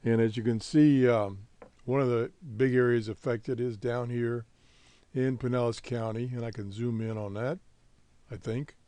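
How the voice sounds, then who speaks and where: Male hobby-show host, American, unhurried and halting, relaxed soft-edged tone, dry close sound. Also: mid-range voice, dry recording. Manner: halting, laid-back, rambling. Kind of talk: casual unscripted update.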